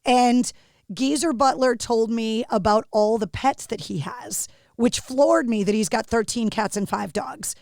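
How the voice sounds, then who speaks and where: A woman with a raspy voice hosting a podcast interview, chatty and self-deprecating. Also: on the high side, unhurried, a little mellow, dry recording, clean studio sound. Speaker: podcast host. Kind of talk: casual interview chat.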